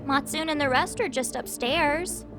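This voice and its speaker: Casting: scheming high schooler, highish voice, mock-innocent wheedler for a game scene, music underneath. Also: female voice, unhurried. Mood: wheedling. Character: sly, wheedling teenage student troublemaker. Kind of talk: video game cutscene acting. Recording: music behind the voice.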